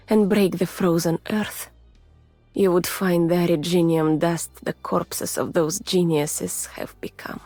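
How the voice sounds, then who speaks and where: Slow breathy russian lady scientist, gentle and weary, game character, very close and whispery, music behind. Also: fairly low pitch, no echo. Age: adult, mature. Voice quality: soft, breathy, often near a whisper. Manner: measured, formal, restrained. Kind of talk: soft, intimate ASMR-like game voice acting.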